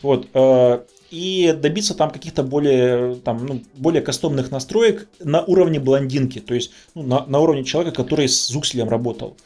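A man's voice: medium pitch, fairly quick, few pauses, darkish tone, faint music behind. A man explaining technical matters in an enthusiastic podcast talk. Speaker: podcast speaker on networking.

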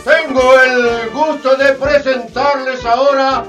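A man plays a grandiose master of ceremonies in a radio drama. His voice is extremely high, and he stretches his announcements slowly. He sounds away from the mic, with music clearly behind. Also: steady tone, a bit bright, far from the mic, full character voice. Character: grandiose showman presenting a brave captain. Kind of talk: theatrical radio play acting.

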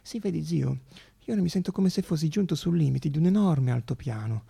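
Earnest young idealist, slightly husky. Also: male voice, a little high, lively intonation, warm tone, no echo, full character voice. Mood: joyful, awed. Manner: intense, halting, repeats words, drops to whispers. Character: earnest young male student inventor, idealistic, anxious, excitable. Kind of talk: theatrical radio monologue.

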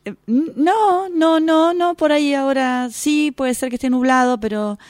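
A woman's voice: high voice, unhurried, a little mellow, no room sound, clean studio sound. A woman playing a nervous estate agent who stammers and fills with "este", in a workshop radio drama. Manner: stammering, hesitant, many fillers.

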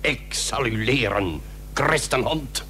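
An actor playing an imperious, threatening Barbary potentate in a period radio drama, with commanding, archaic formal speech. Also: male voice, mid-range voice, lively intonation, slow, sharp tone. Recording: noisy old radio recording with hum.

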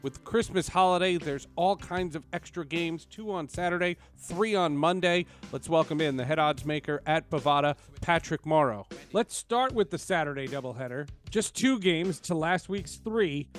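A man with a fairly high voice presents as a show host. His delivery is scripted broadcast style, with quiet music underneath. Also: moderate pace, hardly any pauses. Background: faint music.

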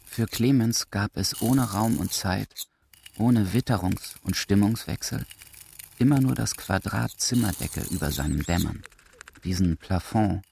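A man narrates literary prose for a radio drama in a measured, deliberate, emphatic way. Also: low-pitched, darkish tone. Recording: clearly noisy recording with clicks.

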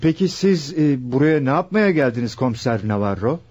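Husky, mellow, earnest lawyer played by a man. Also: medium pitch, expressive, brisk, close to an everyday voice. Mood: puzzled. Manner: questioning, earnest. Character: earnest, puzzled male lawyer and fiancé. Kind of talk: radio play acting. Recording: dull, muffled old radio recording.

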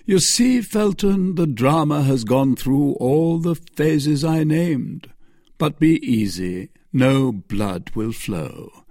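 A man's voice: a little high, expressive, slow pace, mellow, dry recording, voice pushed up, exaggerated voice. A mocking storybook baron, acted by a male narrator, coolly triumphant and sardonic. He takes his time over each phrase with theatrical irony. The sound is dry.

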